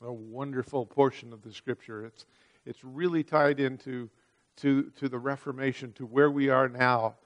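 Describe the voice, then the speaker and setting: An earnest male preacher with a voice of ordinary pitch. He reads scripture and then explains it at length, swelling louder on key words and dropping quiet between them. He often stumbles and repeats a word as he restarts a thought. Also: fairly even intonation, normal speed. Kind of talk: sermon with scripture reading.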